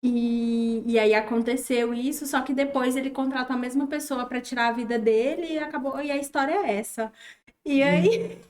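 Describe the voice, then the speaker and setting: A young woman from Tocantins in her twenties, a teacher, talking in a casual interview and pausing thoughtfully as she speaks. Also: sits high, a little mellow. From Tocantins, Brazil. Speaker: guest, teacher.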